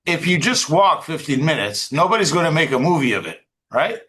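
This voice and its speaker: Bright, forceful, higher-pitched man hosting. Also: big pitch swings, fairly quick. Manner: insistent, conversational, repeats points and checks in with "right?". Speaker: online team call host. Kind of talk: unscripted motivational talk.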